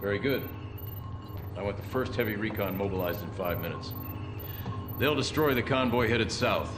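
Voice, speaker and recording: A hard-edged colonel acted by a man briefs troops in a military game. His voice sits low, with clipped, commanding delivery and a suspicious temper, over some background music. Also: expressive, normal speed, roomy sound, lightly acted. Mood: stern, commanding. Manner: curt, authoritative, clipped orders. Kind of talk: game mission briefing acting.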